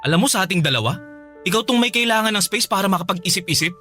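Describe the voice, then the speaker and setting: An exasperated young man in a radio drama, talking fast in a bright, forceful voice. He is curt and guarded with his ex, over faint music. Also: high-pitched, animated. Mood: skeptical, reproachful. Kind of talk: Filipino radio drama acting.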